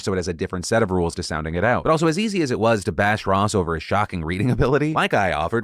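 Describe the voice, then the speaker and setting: A man's voice: lowish voice, a bit bright, dry recording, studio quality. A young man hosting a commentary show, reading viewer comments in a blunt, profane, self-deprecating way. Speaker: commentary host.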